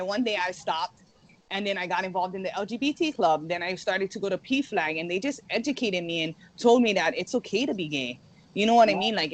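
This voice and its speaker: A woman's voice: medium pitch, rough recording. A young woman from Hawaii, a guest in a casual interview, who laughs often and fills her talk with "like". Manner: laughs often, says "like" a lot.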